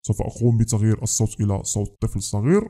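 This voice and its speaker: A man sounding like a gruff deep-voiced grown man through a voice changer, demonstrating it in a tutorial. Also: fairly even intonation, racing, warm tone. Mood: matter-of-fact. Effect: voice changer lowering the pitch.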